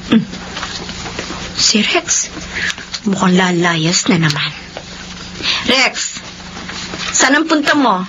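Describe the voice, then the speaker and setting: A woman's voice: mid-range voice, sing-song, drawn-out words, long pauses. A woman playing a young, hardworking, pleading older sister in a Filipino radio drama. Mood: musing. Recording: dull radio sound, noisy background.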